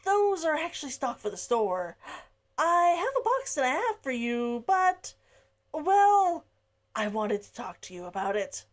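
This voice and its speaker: A woman's voice: sits high, big pitch swings, voice pushed up. Woman voicing a nervous male shopkeeper. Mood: hesitant. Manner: halting, full of uh fillers.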